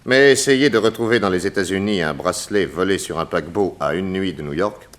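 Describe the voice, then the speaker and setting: An actor playing a courteous, composed private detective with a polite, measured, suave manner, in 1960s French radio drama style. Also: male voice, sits low, fairly bright tone. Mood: modest, resolute.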